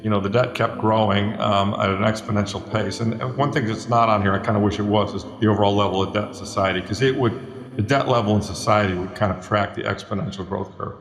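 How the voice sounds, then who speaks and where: A man's voice: lowish voice, steady tone, fast talker. A man giving an off-the-cuff financial commentary, conversational and emphatic, checking in with rhetorical questions. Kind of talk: off-the-cuff chart explanation in a talk. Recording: echoey, music laid under the voice.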